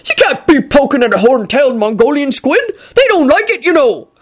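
Storybook giant gamekeeper voiced by a woman, gruff and protective, exclamatory, very animated delivery. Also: high voice, fairly quick, voice pushed up. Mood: blustering. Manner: rustic, scolding, exclamatory.

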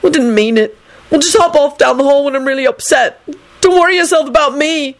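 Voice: mid-range voice, moderate pace, pitched above the performer's own voice, lightly acted. A storyteller voicing an exasperated, sulky man in a melodramatic, indignant, whiny manner for an audiobook story. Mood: indignant hollering. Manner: whiny, dramatic, loudly indignant.

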